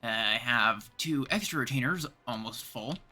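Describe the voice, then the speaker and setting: Man, Canadian accent, lively swinging pitch, bright voice, casual game streamer, thinking aloud, faint music behind. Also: normal speed. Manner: thinking aloud, chatting to viewers. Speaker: game livestream host. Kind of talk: casual livestream chat while playing.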